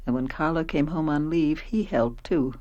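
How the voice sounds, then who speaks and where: A woman's voice: low voice, moderate pace, warm tone, highs cut off, lightly acted. A woman acting a self-possessed wife who narrates her past in a radio play. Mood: reflective, confessional. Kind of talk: dramatic scripted first-person narration.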